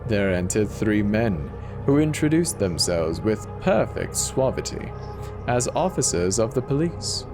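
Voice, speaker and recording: A man voicing a guilt-racked, paranoid murderer in a theatrical, deliberate dramatic horror reading with drawn-out pauses. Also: lowish voice, big pitch swings, music in the background. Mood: smug, confident. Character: guilt-racked madman murderer confessing in growing paranoid agitation. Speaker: storyteller.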